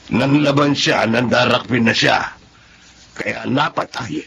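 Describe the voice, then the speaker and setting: A man's voice: medium pitch, slow pace, gravelly, fairly bright tone, dull audio, a little hiss or hum. An actor playing an easygoing, plain-spoken man in a Filipino radio drama. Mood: confiding.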